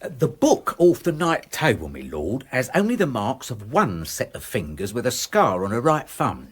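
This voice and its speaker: Radio drama: deferential English manservant, formal and exact, husky, very animated intonation. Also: male voice, medium pitch, moderate pace. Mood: formal, precise. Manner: formal, precise, respectful.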